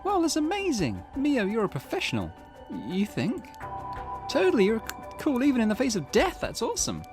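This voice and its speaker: An excitable teenage schoolgirl, gushing and eager, voiced by a man. Her pitch leaps up and down with lively swings. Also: moderate pace, over music, exaggerated voice. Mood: amazed.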